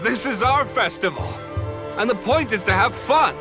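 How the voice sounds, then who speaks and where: An actor voicing a boisterous, friendly, commanding yokai leader in an animated game cutscene, with a hearty manner. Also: mid-range voice, normal speed, lightly acted. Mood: jovial, bold. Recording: loud music behind the voice.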